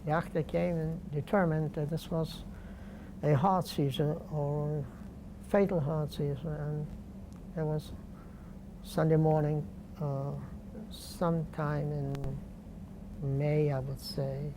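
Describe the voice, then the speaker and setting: An older man from Poland, speaking slowly and deliberately with pauses in an oral history interview. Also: a little high, level tone, background noise. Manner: slow, deliberate, halting with pauses.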